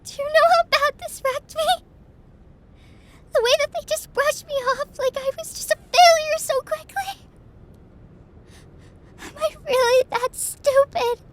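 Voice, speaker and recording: A young woman acting a distraught, self-deprecating best friend confiding her troubles in an intimate audio roleplay, tearful and halting. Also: very high-pitched. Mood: distraught, bitter.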